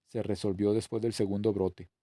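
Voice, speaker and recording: The man you would hear on a homemade audiobook late at night, reading a novel in a deepish, soft-edged voice. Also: flat delivery, normal speed, no echo, studio quality. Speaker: audiobook reader. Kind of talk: scripted narration, reading a novel aloud.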